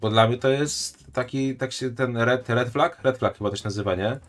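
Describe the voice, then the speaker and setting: A man's voice: mid-range voice, normal speed, soft background music. A man live-streaming his reaction to a video, chatting and joking with his viewers. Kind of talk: casual live-stream commentary.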